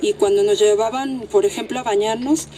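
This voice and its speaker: An actress as a shaken, soft-toned female hostage. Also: medium pitch, steady tone, close to an everyday voice, low-quality recording. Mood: nervous, subdued. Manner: nervous, halting. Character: shaken female kidnap victim, a mother, testifying.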